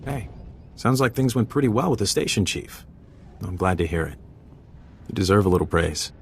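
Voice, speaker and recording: Low, easygoing, earnest young-hearted male operative. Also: expressive, normal speed, creaky, slight background noise. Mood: grateful. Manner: informal, earnest, hesitant. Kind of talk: video game voice acting, casual dialogue.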